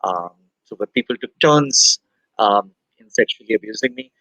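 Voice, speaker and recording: A man from India in his forties, speaking candidly as an interview guest, halting and soft-spoken with many pauses and fillers. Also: on the low side, animated, no echo, poor audio.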